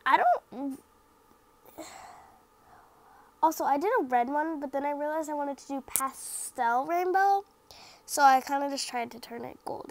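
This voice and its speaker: A young woman with a high voice talks through her craft project in a loose, rambling voice-over. She is a little stuffy from a cold and keeps doubting her work, filling her sentences with "like" and "um.". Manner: rambling, self-critical, lots of "like" and fillers. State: slightly stuffy from the sniffles. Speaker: craft vlogger. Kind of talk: casual voice-over narration of a craft video.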